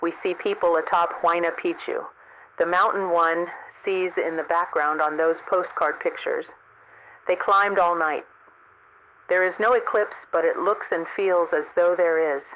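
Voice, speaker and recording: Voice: mid-range voice, steady tone, a little slow, highs cut off, slight background noise, natural voice. A man playing a solemn, observant field correspondent who describes the world's end in a scripted radio drama report. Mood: solemn awe.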